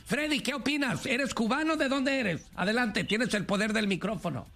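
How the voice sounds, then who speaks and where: A man hosting a radio call-in show, firing provocative questions in a lively debate style. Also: very high voice, normal speed, vocal fry. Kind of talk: lively talk-radio debate with co-host and callers.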